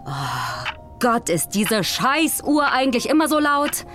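A woman's voice: on the high side, sing-song, slow pace, fairly bright tone, faint music behind, full character voice. An actress playing a resolute, loyal woman pilot leading an astronaut crew in an audio drama, blunt and commanding. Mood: defiant, exasperated.

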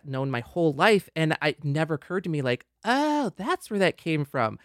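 A man in a casual panel discussion, explaining his view in a reflective, measured way. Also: mid-range voice, a bit bright, no room sound, clean studio sound. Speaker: podcast panelist.

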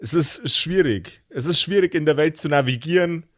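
A man chatting casually as a podcast game master, halting and often restarting words as he searches for phrasing. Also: on the high side, no echo, studio quality. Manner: halting, searching for words, repeats word starts. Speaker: game master of an actual-play role-playing podcast.

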